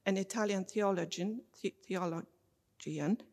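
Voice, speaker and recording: A woman's voice: mid-range voice, darkish tone. Woman reading in a reverberant church. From Poland. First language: Polish. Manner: measured and formal, slows over names and dates. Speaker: lay reader at a church service. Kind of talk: formal prepared reading to a congregation. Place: church sanctuary.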